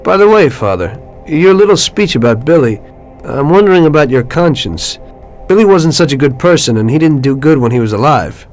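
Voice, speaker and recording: An actor playing a weary, guilt-ridden mobster, matter-of-fact and reflective, in a video game. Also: male voice, a little high, animated, moderate pace, darkish tone, soft background music, close to an everyday voice. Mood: quiet, wry. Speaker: gangster and narrator of his story. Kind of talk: scripted video game dialogue and storytelling.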